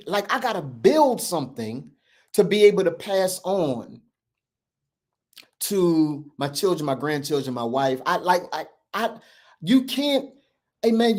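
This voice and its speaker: A man's voice: high voice, darkish tone. A man in his fifties speaking earnestly in casual conversation, repeating phrases for emphasis with a lilt. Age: 50s. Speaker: podcast co-host.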